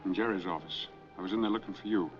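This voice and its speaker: A man's voice: low voice, fast, close to an everyday voice. A man acting in a 1940s film noir, playing a weary, dogged man on the run. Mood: grim, matter-of-fact. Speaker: lead actor in a mystery film. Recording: muffled 1940s film soundtrack, music behind.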